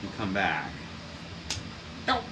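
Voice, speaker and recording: A man's voice: low-pitched, wildly swooping pitch, slow. A man casually narrating his bookbinding work at home, rambling and swearing with self-deprecating asides. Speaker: hobbyist bookbinder vlogger. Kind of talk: casual workshop how-to narration. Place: home workshop with heater blowing. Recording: wind noise from heater on mic. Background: heater blowing and printer running.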